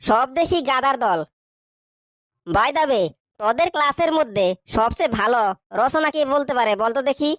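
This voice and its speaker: A woman's voice: high voice, expressive, fairly bright tone, no room sound. A woman voicing a bad-tempered, bullying schoolteacher who scolds and fires questions in a comic cartoon. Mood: brisk, bossy. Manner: scolding, hectoring, rapid questioning.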